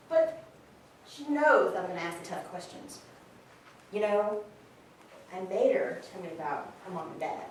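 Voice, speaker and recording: A woman playing a candid, warm-hearted friend in a casual monologue at a staged reading. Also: a little high. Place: live staged reading in a large room. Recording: very echoey hall, distant mic, faint noise.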